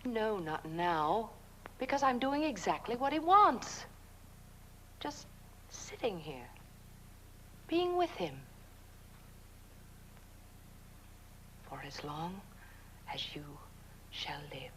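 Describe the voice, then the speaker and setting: Melodramatic, fearful young wife, swooping slowly. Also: female voice, medium pitch, very animated, fairly bright tone, a little hiss or hum. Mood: resigned dread. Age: young adult. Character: fearful, trapped young woman married to a corpse. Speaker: film actor. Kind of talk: 1970s horror-comedy film dialogue.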